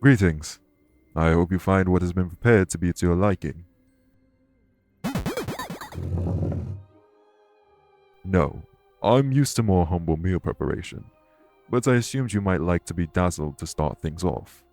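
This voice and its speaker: A man playing a courteous, dour immortal king in an audio roleplay, speaking in a formal, measured way. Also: sits low, lively intonation, darkish tone, soft background music. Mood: gracious. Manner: formal, measured, gravely polite. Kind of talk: immersive audio roleplay acting addressed to listener.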